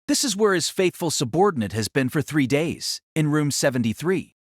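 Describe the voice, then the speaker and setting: Narrator for an audio drama: man, slightly high voice, very varied melody, echo-free sound. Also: big pitch swings, normal speed, studio quality.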